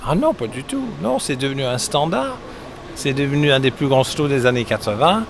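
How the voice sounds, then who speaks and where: A middle-aged man from England, a musician, answering interview questions in a relaxed, rambling way with many hesitations. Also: mid-range voice, fairly quick. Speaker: musician guest. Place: outdoors at a summer concert event. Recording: outdoor street noise behind. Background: traffic and street ambience.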